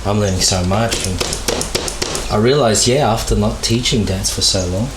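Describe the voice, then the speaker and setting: A lowish-voiced man hosts a relaxed podcast chat, dropping "yo" and "bro" and swearing often. He sounds like he is in a reverberant room, with clear background noise under his voice. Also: moderate pace. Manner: swearing, slangy, lots of "yo" and "bro". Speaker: podcast host. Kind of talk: casual chat.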